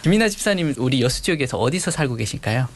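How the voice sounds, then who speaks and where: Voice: mid-range voice, expressive, a little hiss or hum. An interviewer politely questioning church members, with frequent short affirmations. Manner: polite, quick affirmations and soft laughs.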